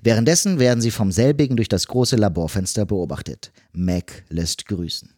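A man's voice: on the low side, normal speed, mellow, no echo, clean studio sound. A man narrating a scripted film recap in a wry, tongue-in-cheek manner.

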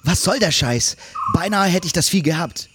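A man's voice: fairly high pitch, expressive, normal speed, noisy background, voice pushed up, close to an everyday voice. A man playing an angry, swearing shark hunter, confrontational, in parody film dialogue. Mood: indignant. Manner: indignant, confrontational.

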